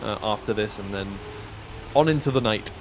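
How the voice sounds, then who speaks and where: A man's voice: fairly low pitch, moderate pace, background noise. Man casually commentating a racing game. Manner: dry, teasing, many fillers and restarts. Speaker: esports caster. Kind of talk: casual live esports commentary.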